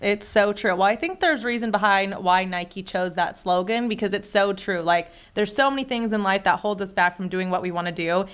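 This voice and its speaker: A bubbly young woman hosting a sports podcast, bright and excited in her delivery. She is from Northern California and keeps a cheerful, quick conversational tone with frequent laughter. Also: medium pitch, smooth. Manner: bubbly, enthusiastic, laughs often. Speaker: podcast host. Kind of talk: upbeat podcast interview.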